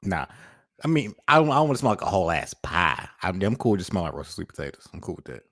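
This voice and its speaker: An American man co-hosts a casual podcast in a fairly deep voice. He talks in easygoing slang, and the sound is dry and close. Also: big pitch swings, fast, fairly bright tone, dry recording. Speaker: podcast co-host. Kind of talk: casual chat.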